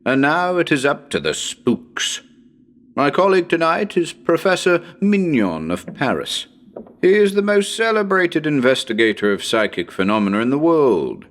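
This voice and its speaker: A man's voice: mid-range voice, pitched above the performer's own voice. A man playing a proud, jaunty radio presenter on a ghost hunt, speaking formally with wry humor. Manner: formal, measured, wryly humorous announcer delivery. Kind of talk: dramatic reading as a live radio broadcast.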